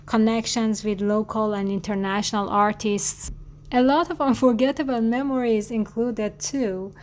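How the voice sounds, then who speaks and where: A woman from Iran with an Iranian accent, a native Farsi speaker, answering interview questions in halting English and searching for words. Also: a little high, fairly even intonation. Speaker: guest artist.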